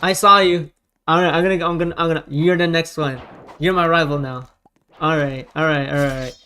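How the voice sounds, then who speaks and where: A man's voice: on the high side, steady tone, pushed and bright, slight background noise. Sex: man. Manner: rallying gamer. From United States. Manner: rallying teammates with quick repeated calls. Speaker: gamer streamer. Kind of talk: casual gameplay commentary.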